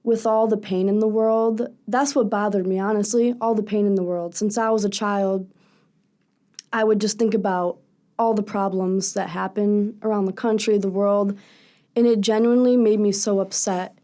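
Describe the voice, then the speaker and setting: Young woman, low raspy voice, earnest, open, radio studio guest. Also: fairly even intonation, normal speed, mellow. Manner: earnest, candid, talks in long thoughtful runs. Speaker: singer, interview guest. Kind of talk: casual radio interview.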